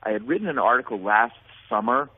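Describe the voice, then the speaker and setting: A man's voice: medium pitch, animated, fairly quick, husky. An American man being interviewed as a guest, talking earnestly and at length, often restarting his sentences. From United States. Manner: earnest, rambling with many restarts. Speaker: guest, nonprofit founder. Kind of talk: phone interview. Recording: phone line.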